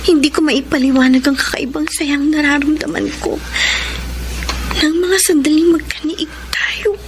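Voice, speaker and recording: A Filipino actress playing a guilt-torn married woman in a secret affair, speaking in a breathy, halting, melodramatic radio drama style. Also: sits high, steady tone, noisy background, natural voice. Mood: guilty, tender. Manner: breathy, halting, hushed confessions. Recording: music under the voice.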